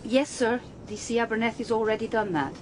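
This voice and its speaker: A woman's voice: on the high side, fairly even intonation, a little fast, natural voice. Actress as a composed policewoman, faint background noise. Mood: efficient, prompting. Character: composed, efficient female police detective. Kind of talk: radio crime drama acting.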